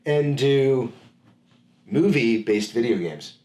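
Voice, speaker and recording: A man's voice: medium pitch, lively intonation, takes it slowly, a little mellow. A man co-hosting a podcast in a laid-back casual chat, often agreeing and using filler words. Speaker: podcast co-host. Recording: roomy echo.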